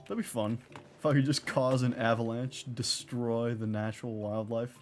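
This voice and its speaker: A woman's voice: rumbling low, fairly even intonation, moderate pace, a little mellow, faint music behind. A woman giving casual, offhand, wry commentary as she streams a game.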